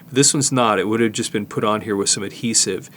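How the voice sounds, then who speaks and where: A man's voice: on the low side, fairly bright tone. Older man explaining, noisy recording. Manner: explanatory, halting, thinking aloud while working. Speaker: host, tape digitizing technician. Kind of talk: casual hands-on demonstration. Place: studio, indoors.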